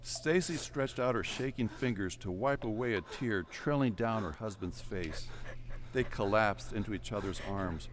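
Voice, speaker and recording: A man's voice: on the low side, moderate pace, a little hiss or hum. A man narrating an audio drama, reading a scripted story in a dramatic storytelling style. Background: music.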